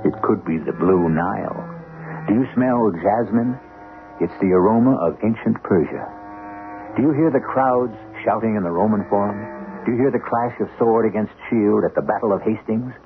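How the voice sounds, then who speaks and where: A man hosting a radio drama with measured, rhetorical, dramatic scripted narration. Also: fairly low pitch, slight background noise. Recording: lo-fi 1970s radio broadcast. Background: music.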